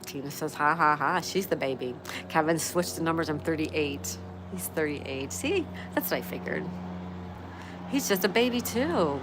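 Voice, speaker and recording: Low-voiced woman in her sixties, noisy background. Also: expressive, normal speed. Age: 60s. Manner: chatty, greets viewers and repeats phrases. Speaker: livestream host. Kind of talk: casual live chat, reading viewer comments aloud.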